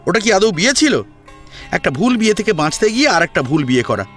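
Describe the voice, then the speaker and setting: Over soft background music comes a hot-headed, playful young man, acted by a male story reader. His pitch leaps about as he insists and coaxes, full of emotion, as in a radio-style story. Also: very animated, quick pace. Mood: insistent, annoyed. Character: eager, teasing, hot-headed young grandson and devoted friend. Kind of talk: Bengali audio story acting.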